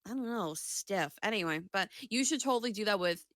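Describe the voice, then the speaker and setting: Bright-voiced, playful woman co-hosting a podcast. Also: mid-range voice, a little fast, no room sound, clean studio sound. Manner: animated, playful, swears freely. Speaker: podcast co-host. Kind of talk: casual banter.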